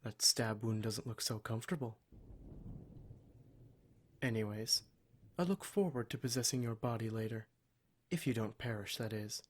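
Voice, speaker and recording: A man voicing a smug, menacing demon in an ASMR roleplay, speaking in a measured, formal, condescending way to his captive. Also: lowish voice, lightly acted. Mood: coldly threatening. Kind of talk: ASMR roleplay monologue addressed to the listener.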